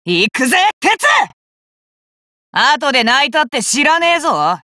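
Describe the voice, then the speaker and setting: An actor voicing a cocky, hot-blooded young male fighter in an anime card game, rough-talking and arrogant, with emphatic battle cries. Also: high voice, very animated, unhurried, pushed and bright, dry recording, studio quality, heavily acted. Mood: arrogant, determined.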